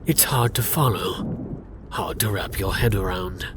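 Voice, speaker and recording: A man narrating a scripted second-person fantasy story for ASMR in a hushed, intimate, dramatic way. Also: on the low side, unhurried, noisy background.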